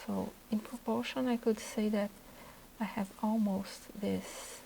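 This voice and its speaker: A woman from Brazil with a Brazilian Portuguese accent walks through a portrait drawing demonstration. She speaks slowly and unhurriedly, pausing often as she thinks aloud over her sketch, her pitch sitting in a middle range. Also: steady tone, vocal fry, warm tone, a little hiss or hum, low-quality recording. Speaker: art instructor.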